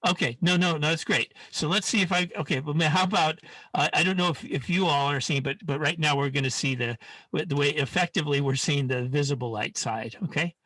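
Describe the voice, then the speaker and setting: A man's voice: fairly high pitch, brisk, bright, forceful tone, dry recording, rough recording. A man leading an informal live online science lesson in a hesitant, rambling way, often restarting his sentences. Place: online video call.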